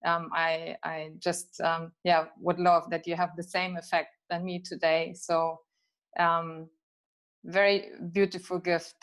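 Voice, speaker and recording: A woman with a lowish voice and a flat, even delivery talks on a video call. She often hesitates and searches for words. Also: slow, clean tone.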